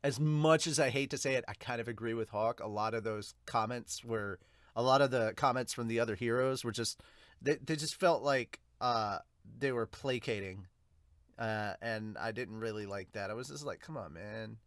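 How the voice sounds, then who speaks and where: Male podcast host, mid-pitched, loose and informal, rambles through off-the-cuff reviews, close dry sound. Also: normal speed, fairly bright tone, no room sound. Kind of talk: casual unscripted reaction and review.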